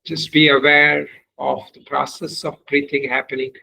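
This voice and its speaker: A man leading a guided meditation as a teacher, giving measured, unhurried instructions with long pauses. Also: fairly high pitch, little pitch movement, creaky, pushed and bright, rough recording.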